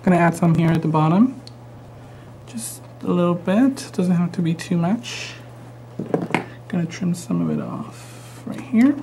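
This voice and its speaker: A man's voice: high voice, sing-song, unhurried, long pauses, background noise. A man with a Mexican American accent demonstrating a craft project live, chatty and easygoing with frequent ums. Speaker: craft instructor.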